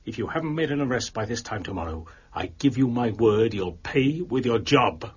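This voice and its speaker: An actor playing an overbearing, contemptuous prefect of police in an audio drama, giving imperious orders in formal language. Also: male voice, mid-range voice, lively intonation, normal speed, husky, highs cut off. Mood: stern, threatening. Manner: imperious, clipped commands, formal diction.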